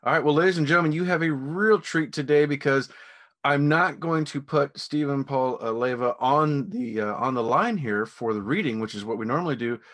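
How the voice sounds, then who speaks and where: A man's voice: mid-range voice, fairly quick, a bit bright. A man hosting a casual podcast interview in an enthusiastic, affirming manner. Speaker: podcast host.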